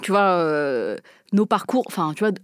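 A woman's voice: lowish voice, sing-song, normal speed, smooth, no echo, clean studio sound. A woman hosting a casual conversation, talking in long winding sentences.

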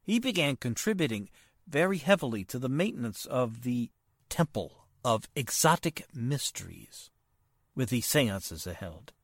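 A man playing a polite, hesitant, worried nephew in 1940s radio drama style, earnest and formal, halting as he speaks. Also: mid-range voice, very animated, husky, no echo, clean studio sound, full character voice. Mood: troubled.

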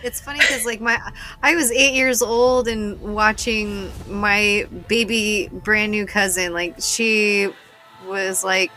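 A woman's voice: medium pitch, flat delivery, slow, sharp tone, faint music behind, rough recording. An American woman in middle age hosting a casual chat, talking in a blunt, joking, sweary way. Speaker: podcast host.

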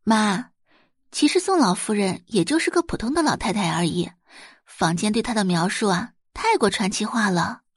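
A woman's voice: medium pitch, animated, moderate pace, slightly rough, dry recording, studio quality. A woman voicing a poised young heiress and doctor who talks sweetly and confidently in an audio drama.

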